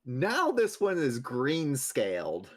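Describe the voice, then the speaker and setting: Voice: mid-range voice, wildly swooping pitch, slow pace. A player casually describing moves in a tabletop game.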